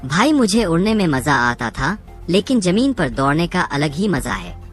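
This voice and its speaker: An earnest, friendly crow from a cartoon animal fable, acted by a woman. The voice sits on the low side and moves up and down with great liveliness. Soft music runs behind it. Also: sing-song, moderate pace. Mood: delighted. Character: friendly, cautious male crow, loyal friend.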